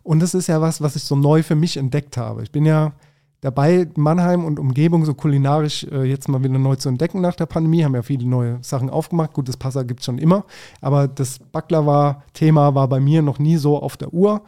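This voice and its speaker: A man's voice: on the high side, a little fast, warm tone. A man co-hosting a food podcast, talking casually with informal slang and the odd English phrase.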